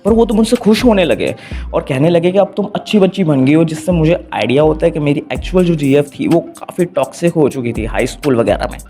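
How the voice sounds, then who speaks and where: A man's voice: a little high, lively intonation, fairly quick, dark tone. A man with an Indian accent narrates a story recap informally in the first person, slipping between Hindi and English phrases. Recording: voice-over with music underneath.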